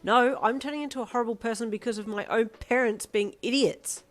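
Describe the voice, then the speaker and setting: Woman voicing a sulky teenage girl, deadpan, muttered, lowish voice. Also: moderate pace, creaky, faint music behind. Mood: angry. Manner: flat and muttered, flaring into shouting.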